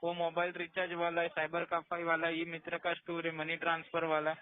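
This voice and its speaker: A man with a noticeably high voice speaks as a loan collection agent. He explains in an insistent, repetitive way. The sound is narrow and tinny, as on a recorded phone call. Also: monotone, brisk, smooth. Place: call centre, on a phone line.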